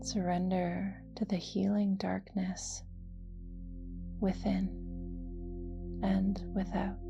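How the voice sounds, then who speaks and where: A woman leading a guided yoga nidra meditation in a soft, soothing, near-whispered voice with gentle, measured phrasing. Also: mid-range voice, little pitch movement, vocal fry, muffled recording, over music.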